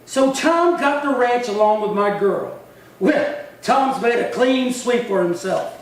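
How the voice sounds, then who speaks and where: High-pitched, swaggering outlaw cowboy played by a man. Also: lively intonation, measured pace, exaggerated voice. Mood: shocked, bitter. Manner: swaggering, threatening. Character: bitter, menacing male drifter cowboy, jilted brother. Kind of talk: old-time western radio acting. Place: little theatre stage, distant mic. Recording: very echoey hall, far from mic, faint noise.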